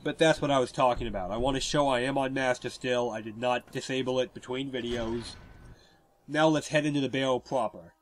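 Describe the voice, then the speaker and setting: A man with a stuffy cold, giving relaxed, explanatory gameplay commentary over a walkthrough. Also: medium pitch, brisk.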